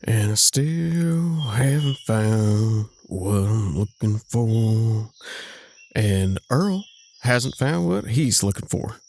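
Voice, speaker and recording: A man's voice: fairly low pitch, lively intonation, extremely slow, a little mellow, full character voice. A man playing a cheerful, teasing buddy who sings badly, in an audio drama. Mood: playful.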